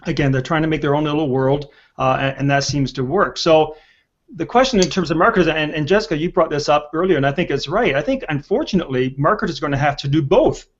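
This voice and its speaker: A man's voice: a little high, quick pace. A man in his sixties hosting a conversational panel discussion over a video call. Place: indoor room on a video call. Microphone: video call with earpiece. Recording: dull lo-fi video call audio.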